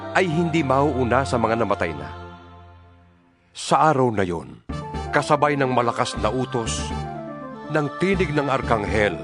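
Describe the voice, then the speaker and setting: A man playing an earnest apostle in a dramatized scripture recording. His delivery is solemn and deliberate, with widely varied intonation at a mid pitch, over clearly audible music. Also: natural voice. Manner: solemn, exhorting, deliberate phrasing. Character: apostle writing earnest, exhorting letters to fellow believers. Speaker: narrator of a dramatized Bible.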